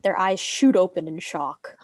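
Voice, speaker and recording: A woman's voice: fairly low pitch, animated, measured pace, darkish tone, dry recording. A young woman reading screenplay stage directions as a narrator. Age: young adult.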